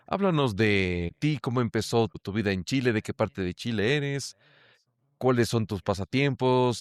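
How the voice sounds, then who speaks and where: A man's voice: fairly low pitch, no echo. A male podcast host who interviews his guest with curious, engaged questions and exclamations. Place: lives in Ecuador.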